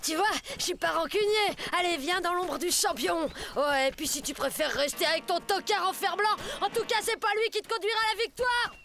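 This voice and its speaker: A woman's voice: very high-pitched, brisk, creaky, hardly any pauses, sharp tone, exaggerated voice. A woman voicing a smug, taunting child sports champion in a children's cartoon, bragging and sneering.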